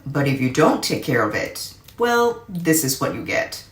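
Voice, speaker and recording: Woman reading as a firm, slow-spoken veteran uncle. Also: deep voice, very animated. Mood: stern. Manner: serious, firm, emphatic. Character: adult uncle, military veteran, firm disciplined mentor. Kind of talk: storybook character voice. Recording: echoey room.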